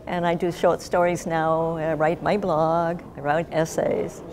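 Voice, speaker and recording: An older woman giving casual interview answers in a reflective, gently humorous way. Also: deep voice, level tone, a little slow, a crowd in the background. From Japan. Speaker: guest, artist and writer.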